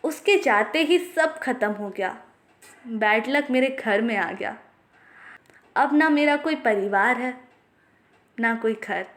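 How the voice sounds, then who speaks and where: A woman voicing a kind, respectful young gentleman in a bedtime story, speaking in a polite, earnest way. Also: fairly high pitch, lively intonation, slow pace, pauses often. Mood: sorrowful.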